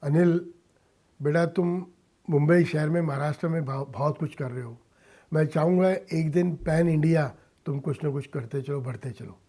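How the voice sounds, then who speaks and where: A man giving earnest interview answers in emphatic, halting short phrases, as a guest in a radio studio. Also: a little high, dark tone. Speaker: interview guest, industrialist and philanthropist. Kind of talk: earnest interview answers mixing Hindi and English.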